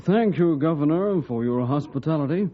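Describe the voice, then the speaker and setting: An actor playing a courteous, dignified government secretary, polite and formal, in an old-time radio drama. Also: male voice, fairly high pitch, animated, normal speed. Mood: gracious, pleased. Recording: thin, phone-like old radio recording.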